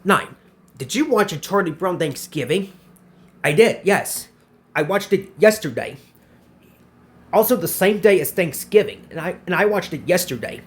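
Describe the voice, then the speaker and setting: A man's voice: high voice, animated, normal speed, pauses often. A man in his twenties hosting a casual question-and-answer vlog, who often repeats himself and restarts his phrases. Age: 20s. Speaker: channel host answering viewer questions.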